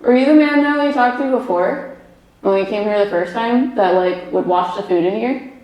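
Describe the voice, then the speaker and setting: A woman's voice: mid-range voice, normal speed, muffled recording. Young woman, medium on a ghost hunt, giggly rambling chatter, recorded in a roomy basement. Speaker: medium, paranormal investigator. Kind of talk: casual chat on a ghost hunt. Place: old basement room with echo. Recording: echoey basement room.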